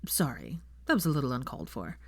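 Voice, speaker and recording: A woman voicing a casual, apologetic young husband in an audiobook story, low-key and offhand. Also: big pitch swings, quick pace, darkish tone, husky, dry recording, heavily acted. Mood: sheepish.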